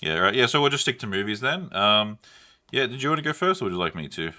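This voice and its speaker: Casting: young male podcast co-host, deep yet bright voice, casual, tentative, meandering talker. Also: sing-song, fast. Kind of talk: casual chat.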